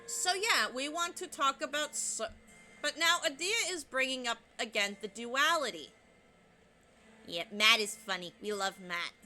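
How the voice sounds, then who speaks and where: Woman, let's play narrator, light youthful voice, unhurried, over game music. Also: sits high, bright, forceful tone. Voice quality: light, youthful voice she compares to a teen boy's. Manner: chatty, self-deprecating, with frequent laughs. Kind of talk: casual gameplay commentary.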